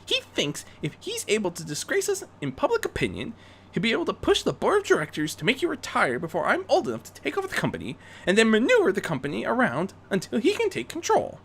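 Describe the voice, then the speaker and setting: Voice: medium pitch, huge pitch swings, fast talker, creaky, fairly bright tone, slightly rough, pitched above the performer's own voice, heavily acted. A performer voicing a young female unicorn vigilante, refined and determined, speaking in an earnest, theatrical, articulate way for a fan fiction reading.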